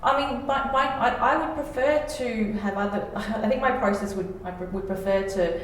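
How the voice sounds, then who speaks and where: A woman's voice: medium pitch, moderate pace. A woman, a playwright being interviewed, talking reflectively and informally about her work. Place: reverberant indoor room. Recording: very echoey room, distant mic, faint noise.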